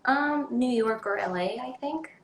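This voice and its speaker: A woman's voice: a little high, measured pace, echoey room, a little hiss or hum. A young adult woman from Sweden with a Swedish accent, chatting casually as an interview guest, rambling with many ums and likes. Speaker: actress guest.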